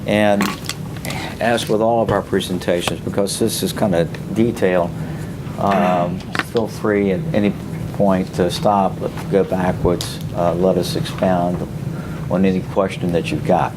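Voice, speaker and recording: Low-voiced man briefing a council. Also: level tone. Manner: measured, explanatory, with frequent fillers. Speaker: city official presenting budget. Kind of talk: council work session briefing. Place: city council chamber. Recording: noisy council chamber audio.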